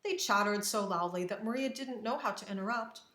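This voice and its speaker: A woman reading a children's story aloud with expressive, dramatic narration and careful phrasing, in an echoey room. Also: medium pitch, fairly quick. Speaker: storybook reader.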